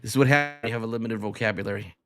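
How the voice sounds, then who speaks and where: A man co-hosting a casual unscripted podcast, speaking haltingly and repeating phrases for emphasis. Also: lowish voice. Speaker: podcast co-host.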